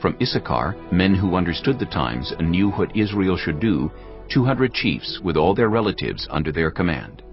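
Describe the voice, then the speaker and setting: Very deep, expressive male scripture narrator. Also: moderate pace, dull audio. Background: background music.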